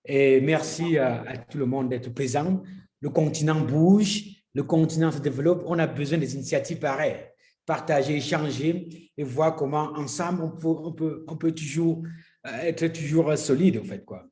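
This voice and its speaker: A man from Cameroon speaking on a panel in an upbeat, energetic way. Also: fairly high pitch, moderate pace. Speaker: entrepreneur, investor. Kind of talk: panel talk. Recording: roomy echo.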